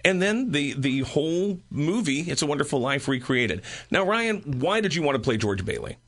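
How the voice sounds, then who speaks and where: A man's voice: fairly high pitch, sing-song, normal speed, a bit bright. An American man with a jokey, talkative way, hosting a conversational radio interview. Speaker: radio interviewer and cast member.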